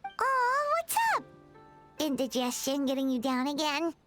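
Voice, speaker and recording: Very high squeaky little fairy sidekick. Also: female voice, sing-song, a little slow, fairly bright tone, full character voice. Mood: concerned. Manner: chirpy, refers to self in third person. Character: small excitable childlike female fairy companion. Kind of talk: animated video game voice acting. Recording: game music behind.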